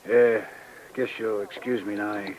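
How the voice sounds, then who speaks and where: A man's voice: on the low side, fairly even intonation, unhurried, a little mellow, close to an everyday voice. A middle-aged actor playing an amiable older boatman in an old radio drama, folksy and a bit hesitant. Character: plain, amiable older male sponge diver and boatman. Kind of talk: 1940s-50s radio drama acting. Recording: noisy old radio recording.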